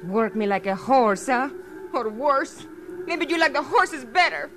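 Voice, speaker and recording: High-voiced saucy stowaway, Spanish accent. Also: female voice, a little slow, music in the background. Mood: sullen, saucy. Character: sullen, pleading, saucy young Mexican stowaway woman. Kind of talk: 1950s western radio drama acting. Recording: noisy old radio recording.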